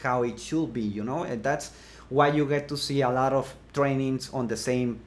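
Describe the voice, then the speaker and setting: A man from Mexico with a Mexican Spanish accent, speaking as an interview guest in a casual chat, pausing often with fillers and restarts. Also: mid-range voice, steady tone. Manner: hesitant, many fillers and restarts. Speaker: guest, blogging course creator.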